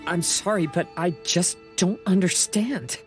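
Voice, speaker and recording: Game son role: actress as a devoted young boy, lowish voice, soft-spoken, earnest, light music behind. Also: measured pace, lightly acted. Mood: hurt, pleading. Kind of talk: dramatic video game voice acting.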